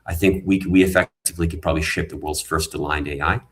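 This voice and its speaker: A man's voice: extremely low pitch, fairly even intonation, fast talker. A man, a tech founder, earnestly explaining his ideas as a guest in an online panel talk. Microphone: video call.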